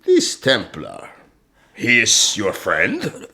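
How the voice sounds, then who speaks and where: An actor playing a shrewd, imperious medieval sultan in a historical radio drama, measured and questioning. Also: male voice, fairly low pitch, huge pitch swings, gravelly, pushed and bright, full character voice. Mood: probing.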